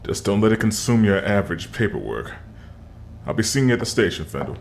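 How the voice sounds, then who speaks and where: A man playing a world-weary police detective in an audio drama, gruff, terse and dry. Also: fairly low pitch, sing-song, a little fast, a little hiss or hum.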